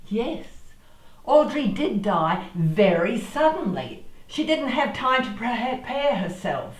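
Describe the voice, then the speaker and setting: A woman playing an excitable, superstitious middle-aged radio actress in a comedy drama, dramatic and earnest. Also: on the high side, takes it slowly. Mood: wistful, eerie. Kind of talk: amateur-style comedy drama dialogue. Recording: echoey room, distant microphone.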